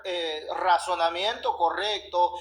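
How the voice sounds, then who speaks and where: A man's voice: high voice, steady tone, takes it slowly, fairly bright tone, a little hiss or hum. A man with a Guatemalan accent preaching, reciting and explaining scripture, often adding tag questions. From Guatemala City, Guatemala. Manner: frequent "¿verdad?" tags. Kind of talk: sermon with scripture reading and exposition.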